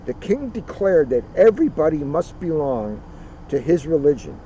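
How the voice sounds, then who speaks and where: A man reading a children's history book aloud outdoors, with deliberate, dramatic phrasing and casual asides. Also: on the high side, big pitch swings, mellow. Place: outdoors at a turkey farm. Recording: muffled outdoor recording, faint vehicle noise.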